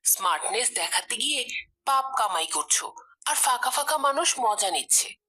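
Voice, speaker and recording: A woman acts out an elderly cartoon grandmother. The voice is fairly high, forceful and rough-edged. She instructs and admonishes with pious earnestness. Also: expressive, moderate pace. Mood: admonishing. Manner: preachy, instructive. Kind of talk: animated cartoon dialogue.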